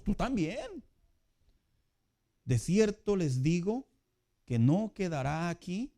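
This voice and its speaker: A man preaching a sermon as pastor in a conversational way, building to loud emphatic peaks. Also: fairly high pitch.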